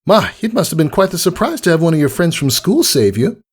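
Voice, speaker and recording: A man's voice: on the high side, sing-song, a little fast, slightly rough, pitched above the performer's own voice. A man voicing a gracious, dramatic grown lady pony from a cartoon. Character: gracious, dramatic lady pony, adult female boutique owner.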